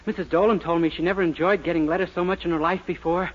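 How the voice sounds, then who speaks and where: Man playing high-voiced naive earnest young fellow. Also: fairly even intonation, quick pace, lightly acted. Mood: earnest, oblivious. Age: young adult. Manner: earnest and guileless. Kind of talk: 1940s radio sitcom acting. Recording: muffled, noisy old radio broadcast.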